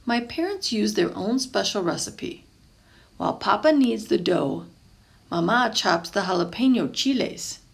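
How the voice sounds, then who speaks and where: Gravelly-edged woman reading a picture book aloud. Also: mid-range voice, unhurried. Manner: expressive, with explanatory asides. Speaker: storybook reader, teacher.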